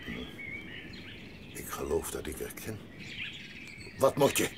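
Gruff, wildly swinging old hermit. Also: male voice, extremely low pitch, sing-song, takes it slowly, background noise, exaggerated voice. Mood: muttering. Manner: barking, muttering to himself. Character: gruff, grumpy old hermit grandfather in the mountains. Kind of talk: Dutch audio drama acting.

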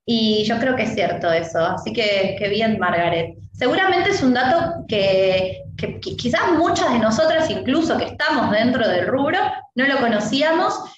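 A woman's voice: a little high, expressive, normal speed, heavy reverb, lo-fi sound, background noise. A woman hosting a podcast chat over a video call, agreeable and full of affirming interjections. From Argentina. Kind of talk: conversational podcast talk.

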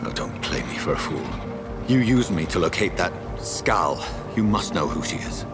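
An actor playing a brooding, guarded gentleman surgeon turned vampire in a video game, speaking formally and tersely with a British accent. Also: deep voice, expressive, normal speed. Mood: suspicious, pressing. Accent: British English. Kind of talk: video game dramatic acting. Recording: loud music behind.

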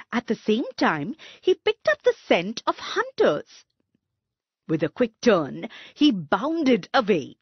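A woman reading a scripted story for children in an expressive, instructional storyteller's manner. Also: a little high, breathy edge, no echo, highs cut off. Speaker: storyteller, narrator. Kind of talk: scripted children's story narration with word definitions.

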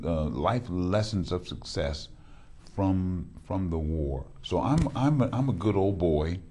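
Husky-voiced pastor hosting a studio show. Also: male voice, sits low, animated, a little slow, warm tone. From Los Angeles, California. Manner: rambling, repeats words, enthusiastic interjections. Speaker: pastor and talk show host. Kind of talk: casual studio interview. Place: TV studio with backdrop.

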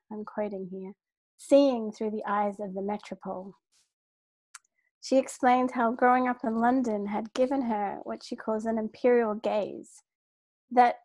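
A woman from Sri Lanka giving a measured, formal academic lecture from home over a video call, with brief fillers and restarts. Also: fairly high pitch, warm tone, no room sound, low-quality recording. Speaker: keynote lecturer, art historian. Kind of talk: academic lecture read from a script. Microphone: computer mic on a video call.

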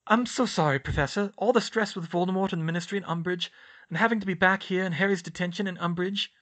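A man voicing a clever, anxious, quick-tempered teenage witch girl, in high, strained outbursts. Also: very animated, a bit bright, heavily acted. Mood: flustered apology.

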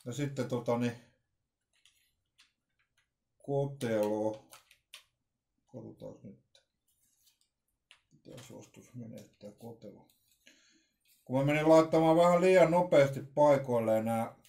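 Man in his forties, mellow tone, halting think-aloud unboxing at his desk webcam. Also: mid-range voice, vocal fry. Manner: halting, thinking aloud with many fillers and restarts. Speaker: vlogger unboxing radios. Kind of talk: casual unboxing commentary. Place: small room at home with shelves.

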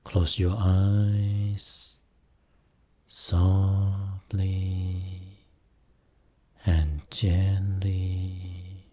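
A man guiding a Buddhist meditation as a teacher, speaking soothingly in short phrases with long pauses between them. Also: sits low, flat delivery, mellow. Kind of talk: guided meditation.

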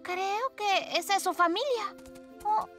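A woman's voice: very high-pitched, takes it slowly, a bit bright, soft background music, exaggerated voice. A woman voicing a cheerful, adventurous, kind little girl in a children's cartoon. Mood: eager, kind. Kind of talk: animated children's cartoon dialogue.

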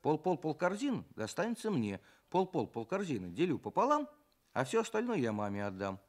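A man telling stories and reciting children's poems in an expressive, theatrical way, as a writer on a programme for children. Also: a little high, fairly quick. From Russia.

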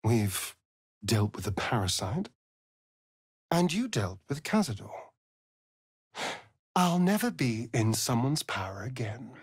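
An actor playing a vain, sardonic vampire rogue in a fantasy video game, with an arch, drawling, theatrical delivery. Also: male voice, medium pitch, sing-song, slow, pauses often, husky, dry recording, clean studio sound, heavily acted. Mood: bitter, vengeful.

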